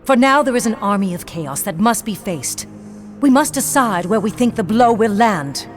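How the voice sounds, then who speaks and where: A grave High Elf noblewoman, played by a woman in a fantasy video game. She speaks in a mid-range voice with proud, measured solemnity, as soft music plays underneath. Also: expressive, natural voice. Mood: grave, mournful. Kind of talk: fantasy game cutscene acting.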